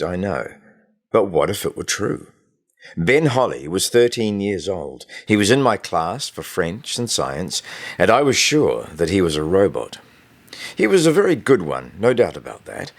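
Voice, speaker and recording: Male audiobook narrator, very low register, animated delivery, first-person storytelling for a young readers' novel. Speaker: author reading own novel excerpt.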